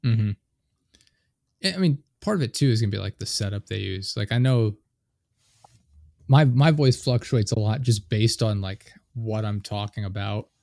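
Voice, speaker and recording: A man's voice: lowish voice, moderate pace, creaky, a little mellow, dry recording. A man hosting a podcast in casual interview chat, slightly nasal, who speeds up and trips over his words when he gets going, on a studio microphone. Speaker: podcast host. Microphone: studio dynamic microphone.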